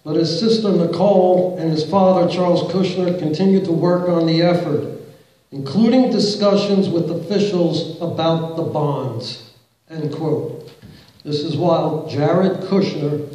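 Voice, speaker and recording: A man's voice: a little high, unhurried, dark tone. A middle-aged man making a public comment at a council meeting, reading quotes aloud and firing pointed yes-or-no questions from the podium. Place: large council chamber, indoors. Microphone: podium mic, recorded by a far camera in the audience. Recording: very echoey hall from far off.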